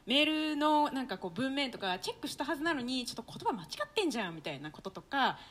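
A woman's voice: high-pitched, sing-song, fast talker, a bit bright. A woman hosting casual radio banter, explaining things in a playful mock-formal, exclamatory way. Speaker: radio show host, stage actor.

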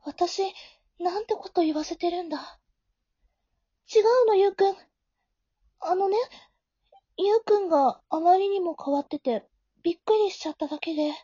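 A woman playing a flustered, caring high school girl who narrates her own story in an amateur audio drama, in a soft, girlish, expressive way. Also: moderate pace, long pauses, dry recording, natural voice. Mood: caring, reassuring. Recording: dull, lo-fi home recording.

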